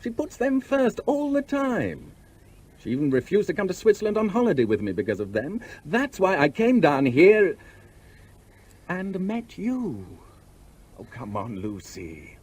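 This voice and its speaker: A smooth-talking, evasive British philanderer in a radio drama. His voice is fairly high for a man and a little husky, with lively, pleading swings. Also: unhurried, heavily acted. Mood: cajoling. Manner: pleading, cajoling, breezily dismissive. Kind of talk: radio play acting.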